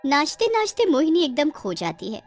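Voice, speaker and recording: A woman's voice: high-pitched, huge pitch swings, moderate pace, soft background music. A woman narrating a scripted cartoon story in a dramatic storytelling style.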